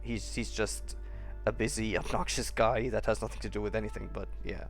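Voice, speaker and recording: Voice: mid-range voice, steady tone, fairly quick, soft background music. A streamer giving casual playthrough commentary in amused, muttered asides.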